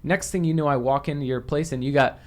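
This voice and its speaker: A man's voice: on the high side, fast talker. A man hosting a podcast interview, talking casually with frequent restarts. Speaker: podcast host. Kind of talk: conversational interview.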